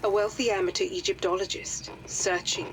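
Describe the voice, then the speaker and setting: An actress plays an authoritative woman in command, briefing adventurers in a game cutscene. Her delivery is formal, composed and measured, at a medium pitch. A little background noise sits behind her. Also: fairly even intonation, close to an everyday voice, low-quality recording. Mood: grave, informative.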